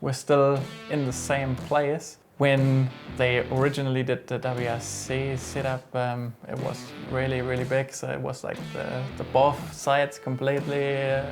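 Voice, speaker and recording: A man's voice: mid-range voice, a little slow, few pauses, music in the background. A middle-aged man from Germany with a German accent, talking casually and hesitantly about his workshop. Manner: relaxed, hesitant, many fillers and restarts. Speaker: workshop owner. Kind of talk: casual interview.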